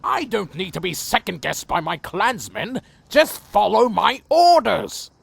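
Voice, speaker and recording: Ancient vampire clan lord for fantasy audio drama: proud, brooding, contemptuous, higher-pitched, very slow delivery. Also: male voice, very animated, vocal fry, slightly rough, no room sound, full character voice. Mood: commanding. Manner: slow, theatrical, brooding and menacing. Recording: faint music under the voice.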